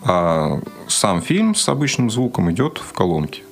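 A man co-presenting a radio interview, asking questions and explaining in a measured, methodical, conversational way. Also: deep voice, huge pitch swings, creaky, soft background music.

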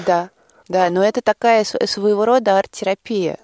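A woman answering as a radio interview guest, in thoughtful phrases broken by hesitant pauses. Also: mid-range voice, fairly even intonation, darkish tone, no room sound, clean studio sound. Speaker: interview guest, drama project representative. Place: radio studio.

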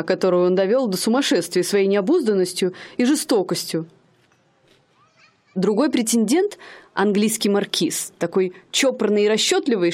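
A woman playing an aloof high-society young lady. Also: darkish tone, natural voice. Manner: affected, languid, aloof. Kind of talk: radio play acting.

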